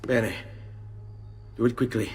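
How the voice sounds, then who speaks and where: A man's voice: medium pitch, steady tone, slow, a little mellow, a little hiss or hum, close to an everyday voice. An actor playing a young Italian nobleman bent on revenge in a video game, earnest and direct, with an Italian accent. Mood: startled, tense. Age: young adult. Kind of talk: video game cutscene acting.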